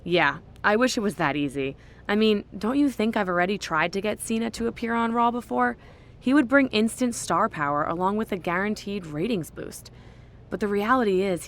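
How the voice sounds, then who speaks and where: An actress playing a skeptical, dismissive wrestling show manager in a video game, matter-of-fact and wry. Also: female voice, medium pitch, animated, brisk, slight background noise, natural voice. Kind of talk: scripted game dialogue.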